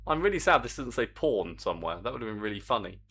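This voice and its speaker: A man's voice: on the low side, sing-song, fast, fairly bright tone, breathy edge. A man streaming his game development work, chatting to viewers in a rambling, self-mocking way. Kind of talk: casual live-stream commentary while coding.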